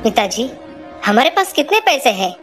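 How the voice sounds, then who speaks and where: Dutiful young man for village cartoon, practical, earnest, fairly high voice female performer, music behind. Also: very animated, normal speed, a bit bright, exaggerated voice. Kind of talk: cartoon moral-story dialogue.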